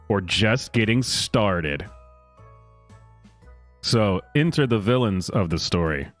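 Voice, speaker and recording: A man reading a news story aloud as a commentary host, mock-dramatic, with sarcastic asides and theatrical pauses. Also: on the low side. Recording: music playing behind the voice. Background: music.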